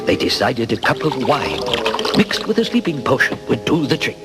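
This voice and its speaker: A man's voice: medium pitch, sing-song, moderate pace. A man narrating a scripted fairy tale as a dramatic storyteller. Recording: loud music behind.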